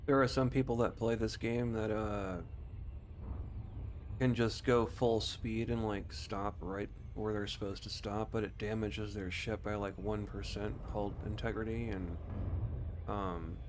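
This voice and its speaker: A man's voice: on the low side, level tone, a little slow, a little hiss or hum. A man streaming a video game, giving casual commentary and short spoken voice commands.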